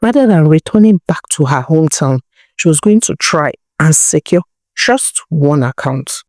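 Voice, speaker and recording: Low-voiced Nigerian woman storyteller, dry recording. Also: lively intonation, normal speed, a little mellow, dry recording. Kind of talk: dramatic folktale narration.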